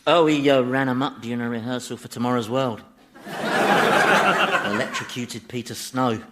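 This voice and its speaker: An actor playing a chatty, exasperated devil who runs hell, wry and dismissive, in a radio comedy. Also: male voice, medium pitch, unhurried, heavy background noise, close to an everyday voice. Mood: amused. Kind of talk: broadcast radio comedy acting.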